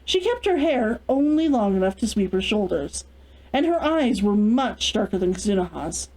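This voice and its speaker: A woman hosting a read-along, giving an expressive narration of a story. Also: medium pitch, normal speed, poor audio.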